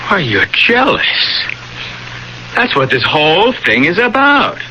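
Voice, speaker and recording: An actor playing a defensive but reasonable young husband in a radio drama, stammering when cornered, on a noisy old broadcast. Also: male voice, sits high, huge pitch swings, slow pace, pushed and bright, heavily acted. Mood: defensive, weary.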